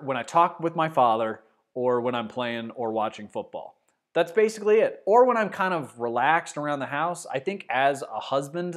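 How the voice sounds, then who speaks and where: A man from Arkansas with a mostly neutral Midwestern-sounding voice, hosting a livestream show, rambling and sarcastic as he reads chat comments. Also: mid-range voice. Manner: rambling, sarcastic, trails off and restarts. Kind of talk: casual football talk, reading chat comments.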